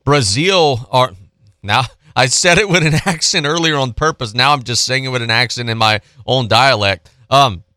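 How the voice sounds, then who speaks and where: Bright-voiced male sports radio host. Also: mid-range voice, no echo, clean studio sound. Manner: informal, slangy, animated storytelling with repetition. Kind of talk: casual, chatty live radio sports talk. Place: radio studio. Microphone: close studio microphone.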